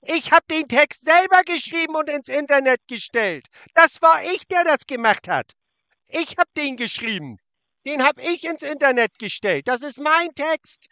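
High, bright-voiced man taunting a scammer. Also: expressive, a little slow, dry recording. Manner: taunting, insulting, talks over the other. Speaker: prank caller baiting a scammer. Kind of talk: mocking phone prank.

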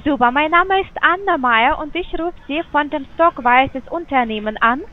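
A woman's voice: high-pitched, moderate pace. A woman working as a telephone sales agent, asking polite scripted questions with frequent hesitant fillers. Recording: tinny phone line.

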